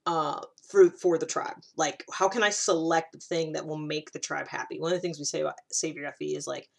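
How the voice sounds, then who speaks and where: A woman with a fairly low voice talks in a casual, explanatory monologue. She works through her ideas aloud in a conversational manner, putting emphasis on key words as she goes. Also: a bit bright. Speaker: personality typing vlogger.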